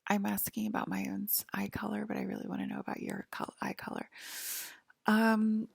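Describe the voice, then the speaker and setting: Woman doing asmr alien abductor roleplay, soft whispery halting talk, lots of vocal fry, really dry close sound. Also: mid-range voice, completely flat delivery, no echo. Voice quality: breathy, whispery. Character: calm alien abductor guiding a patient aboard a UFO. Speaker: ASMR role-play host. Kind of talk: whispered ASMR role-play, improvised talk to the listener.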